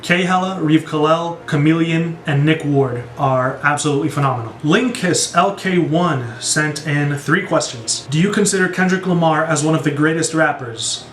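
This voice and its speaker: A man's voice: a little high, normal speed, few pauses, fairly bright tone. A young man in his twenties with an American accent, answering viewer questions casually and enthusiastically as a video host. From born in Brazil, grew up in the United States from age three. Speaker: YouTube music reaction host. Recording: roomy echo with music behind. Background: background music.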